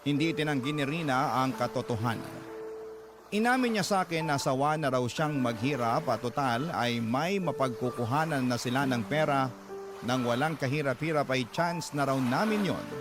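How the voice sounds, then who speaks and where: A man narrating a personal letter in earnest, confessional scripted narration. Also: mid-range voice.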